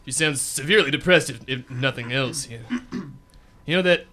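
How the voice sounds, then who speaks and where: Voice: medium pitch, animated, normal speed, pushed and bright. A young performer playing a pompous, exasperated detective boss in a comic radio serial, wordy and indignant. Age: young adult. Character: pompous hard-boiled detective boss, exasperated and self-important. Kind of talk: comic radio serial acting with noir narration. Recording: guitar music behind the voice.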